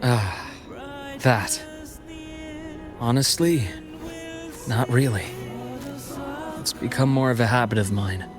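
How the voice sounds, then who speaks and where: Game role: male actor as composed, gentle man with quiet melancholy, reflective and measured, over clear background music. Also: medium pitch, close to an everyday voice. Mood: wistful, reflective. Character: composed adult man, gentle, melancholic former company heir. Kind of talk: dramatic game cutscene dialogue.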